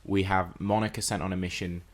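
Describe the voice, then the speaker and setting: A man's voice: deep voice, little pitch movement, fairly quick. A man talking as a podcast co-host in a casual, reflective and analytical way about film and TV.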